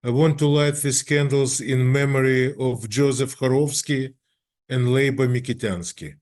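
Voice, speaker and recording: A man's voice: medium pitch, fairly even intonation, a little mellow. A man from Ukraine giving a brief dedication at a remembrance ceremony. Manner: brief and slow. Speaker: candle lighter at a remembrance ceremony.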